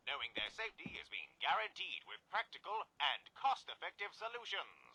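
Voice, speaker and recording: An actor playing an upbeat, patriotic advert announcer, emphatic and salesy in an old-fashioned scripted style. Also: male voice, sits high, pushed and bright, breathy edge, heavily acted, low-quality recording. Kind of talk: retro scripted advertisement narration.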